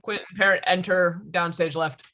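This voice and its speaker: A man's voice: high-pitched, fairly even intonation, moderate pace, pushed and bright. A man reading stage directions as scripted narration at a table read.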